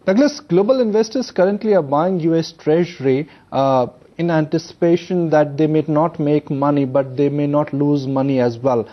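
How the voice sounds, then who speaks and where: Higher-pitched Indian man interviewing on business news. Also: moderate pace, few pauses, muffled recording. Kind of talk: live financial news interviewing.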